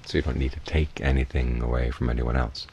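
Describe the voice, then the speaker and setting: A man teaching in a guided meditation, giving measured, unhurried instructions with long pauses. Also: extremely low pitch, fairly even intonation, vocal fry, darkish tone, slight background noise. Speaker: Buddhist monk, meditation teacher.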